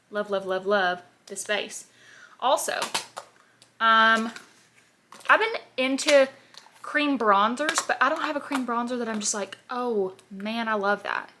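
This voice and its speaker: Woman in her twenties, sassy beauty chat, bright clear tone, slightly high voice. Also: slight background noise. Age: 20s. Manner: chatty, sassy, animated asides. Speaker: beauty vlogger. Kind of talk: casual get-ready-with-me chat. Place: home, at her parents' house.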